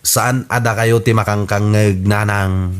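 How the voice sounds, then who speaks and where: A man's voice: lowish voice, steady tone, unhurried, smooth, close to an everyday voice. A man with an Ilocano accent playing a smug, stubborn husband who feuds with neighbours in a comedy radio drama. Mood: defiant.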